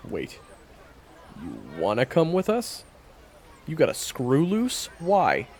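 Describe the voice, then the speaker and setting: A man's voice: a little high, huge pitch swings, takes it slowly, darkish tone, a little hiss or hum, exaggerated voice. A man playing a bitter, blunt monster hunter who talks in weary slang in an audio roleplay drama. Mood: irritated, gruff.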